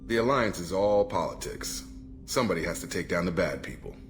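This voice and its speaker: Deep-voiced, earnest military man. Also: animated, brisk, soft background music. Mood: earnest, steady. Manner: matter-of-fact, serious. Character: principled ex-military operative, man, steady and earnest. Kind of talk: video game dialogue acting.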